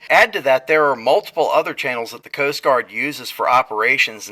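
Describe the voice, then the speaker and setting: Male presenter, mid-pitched and bright, forceful and explanatory, informal show-and-tell delivery aimed straight at viewers. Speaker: storm chaser presenting radio gear. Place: garage partly sunk into the ground.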